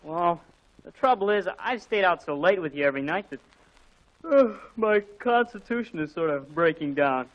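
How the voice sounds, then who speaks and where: An actor playing an evasive, sleepy teenage boyfriend with a halting, sheepish manner in a 1940s radio comedy. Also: medium pitch, expressive, natural voice. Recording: dull lo-fi 1940s radio broadcast.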